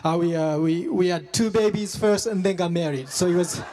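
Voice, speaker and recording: A young man does a stand-up set with a high, light voice. He runs on in a halting, self-deprecating way, with plenty of fillers and restarts. The club's long reverb rings around each line. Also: mellow, faint music behind. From Japan. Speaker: stand-up comedian.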